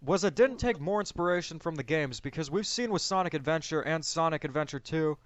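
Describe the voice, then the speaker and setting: A man's voice: fairly high pitch, expressive, fairly quick, no room sound. A man hosting a casual movie discussion, giving his opinions and explaining them. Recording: dull lo-fi audio, music bed. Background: music.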